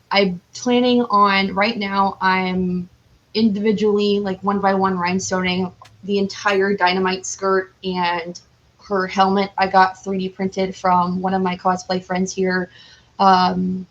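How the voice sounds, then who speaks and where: Female podcast guest, mid-pitched voice, chatty and candid, informal, unscripted delivery. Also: dull audio. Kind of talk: casual interview chat.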